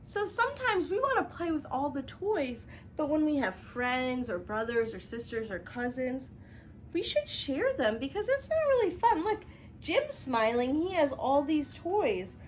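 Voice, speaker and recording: High, very animated woman teaching young children. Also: a little slow, slight background noise. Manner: expressive, instructive, addressing young viewers directly. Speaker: children's teacher reading a picture book. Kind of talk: storybook read-aloud with explanations for young children. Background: music.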